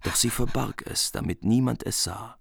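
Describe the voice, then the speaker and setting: A male narrator telling an epic tale, grave and measured, mid-pitched voice with a gravelly edge. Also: a bit bright, no room sound, clean studio sound.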